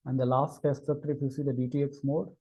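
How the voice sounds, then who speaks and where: Mellow-voiced man presenting a technical demo. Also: medium pitch, little pitch movement, quick pace, breathy edge, muffled recording. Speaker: engineer presenting a product demo. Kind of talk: unscripted technical demo narration.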